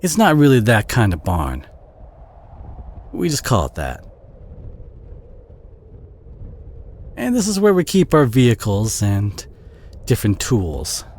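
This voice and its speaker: A man with a Southern US accent playing a kind, protective, bashful country boy in an audio roleplay, speaking in a gentle, folksy, hesitant way with a husky voice. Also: medium pitch, huge pitch swings, a little slow. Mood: easygoing. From southern United States. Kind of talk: audio roleplay acting, addressed to the listener.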